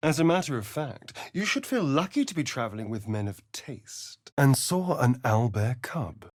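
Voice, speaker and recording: A man's voice: mid-range voice, big pitch swings, moderate pace, dry recording, clean studio sound. An actor playing a sly, haughty male vampire rogue in a video game, with an arch, drawling, sardonic delivery. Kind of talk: video game character voice acting.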